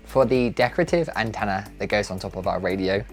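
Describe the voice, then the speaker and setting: A man's voice: lowish voice, animated, fast talker, soft background music. A man giving scripted, step-by-step DIY tutorial narration in a careful, politely instructional manner. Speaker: presenter of a DIY build tutorial.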